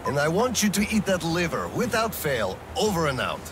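A man's voice: on the high side, huge pitch swings, moderate pace, noisy background. A man playing a stern but loving middle-aged colonel and father in a dramatic video game, speaking in a terse, commanding way. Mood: tender, firm.

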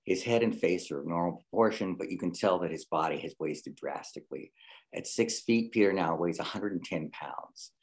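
Casting: male narrator with a very deep register for screenplay directions. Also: moderate pace. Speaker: reader of stage directions.

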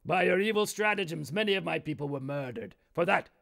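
A man playing a cold, ruthless barbarian warlord in a storybook tale, loud and deliberate. Every line lands as a harsh command. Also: fairly high pitch, animated, creaky. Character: menacing male barbarian chief, ruthless.

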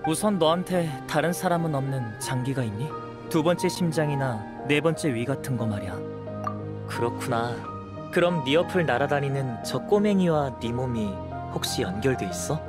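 Measured young scholar-alchemist, soft and even , a man voices him, music bed. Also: medium pitch, natural voice. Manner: soft-spoken, measured, analytical. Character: calm young male alchemist, curious, scholarly. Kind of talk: game voice acting. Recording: with clear game music behind.

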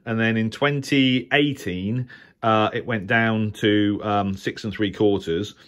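A man's voice: lowish voice, fairly even intonation, fairly bright tone. A British man giving informal, unscripted commentary as a radio hobbyist, hesitant and rambling, often correcting himself. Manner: hesitant, halting, rambling delivery with self-corrections. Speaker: shortwave radio hobbyist presenter.

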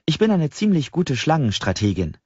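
A man's voice: a little high, sing-song, normal speed, mellow, husky, pitched above the performer's own voice. A man voicing a keen, confident young woman programmer in an audiobook dialogue. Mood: brisk, friendly. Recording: slightly dull, roomy sound.

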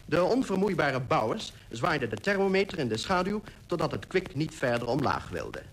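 A man's voice: fairly high pitch, sing-song, moderate pace. A man playing a polar explorer who recounts his expedition in literary, descriptive radio-drama narration. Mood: vivid, descriptive. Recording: faintly noisy old radio recording.

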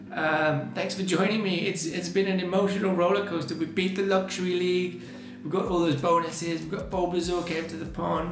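Gravelly-voiced man with a strained throat. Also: on the high side, reverberant. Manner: chatty, reacting to each result. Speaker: gaming channel host. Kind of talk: casual let's-play commentary. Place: small room indoors.

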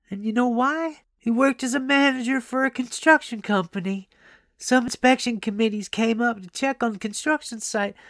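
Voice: medium pitch, a bit bright, no echo, studio quality, pitched above the performer's own voice, close to an everyday voice. A narrator voicing a kindly, chatty old grandmother in an audiobook, motherly and emphatic. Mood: indignant.

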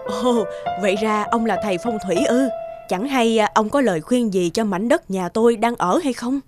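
A woman's voice: a little high, moderate pace, close to an everyday voice. A woman voicing a kindly, trusting village housewife in a cartoon folk tale, polite and solicitous. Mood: curious, polite. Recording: music behind the voice.

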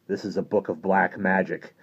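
A man's voice: low-pitched, steady tone, moderate pace, husky. A man voicing an emphatic, learned priest who leads an adventure in a storybook, with a commanding, exclamatory delivery. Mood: grave. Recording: dull, muffled lo-fi recording.

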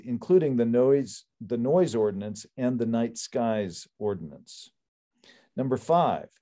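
An older man speaking as an attorney at a public hearing over a video call, giving a formal, prepared summary in a measured, deliberate way. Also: medium pitch, dark tone. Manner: measured, deliberate, enumerating points. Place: indoor room on a video call. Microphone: computer video call microphone.

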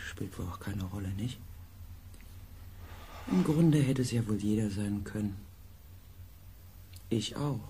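A man playing an earnest, compassionate expedition researcher who tells his story gently and deliberately in a sci-fi radio play. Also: on the low side, creaky, a little hiss or hum. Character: earnest, compassionate male space-expedition researcher recounting events. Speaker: narrator and character in a radio play. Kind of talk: German sci-fi radio drama acting.

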